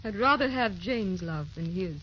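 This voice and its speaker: An actress playing a gentle, youthful woman with a secret sorrow, tender and wistful, in old-time radio drama style. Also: medium pitch, expressive, normal speed, slight background noise, lightly acted. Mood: quietly confessing. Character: youthful, gentle, secretly sorrowful wife posing as a distant cousin. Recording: dull old radio recording, music behind.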